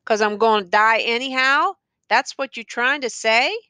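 A woman reader puts on a young condemned man in an audiobook novel. He is sullen and bitter, taunting his visitor in rural Southern vernacular. The sound is forward, bright and close, with almost no room. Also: on the high side, normal speed, smooth, dry recording, voice pushed up.

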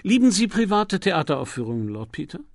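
A man voicing a dry, authoritative medical specialist in an audiobook, questioning a patient in a measured, formal, clinical way. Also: high-pitched, big pitch swings, creaky, no room sound, voice pushed up, heavily acted. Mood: formal, explanatory. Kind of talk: audiobook character dialogue.

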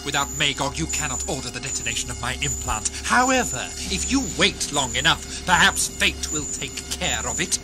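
A man playing a defiant chief scientist in an audio drama, measured, dry and insistent. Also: mid-range voice, expressive, music in the background, close to an everyday voice, low-quality recording. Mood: cool, defiant.

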